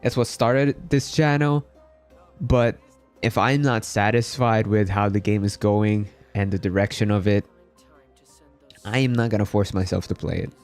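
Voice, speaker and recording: A man's voice: lowish voice, steady tone, a little slow, darkish tone, soft background music. A man from the Philippines chatting casually as he hosts a game livestream. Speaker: game streamer.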